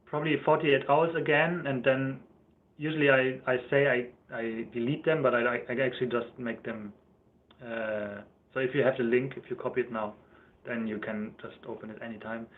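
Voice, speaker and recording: A man's voice: mid-range voice, fairly even intonation, moderate pace. A young man with a German accent chatting casually on a livestream, rambling and correcting himself as he answers viewers' questions. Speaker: YouTuber. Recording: thin phone-like livestream audio.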